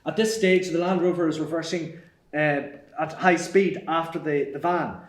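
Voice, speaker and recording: A man's voice: fairly high pitch, fairly even intonation, normal speed. A man reading stage directions as scripted narration at a screenplay table read. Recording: echoey room.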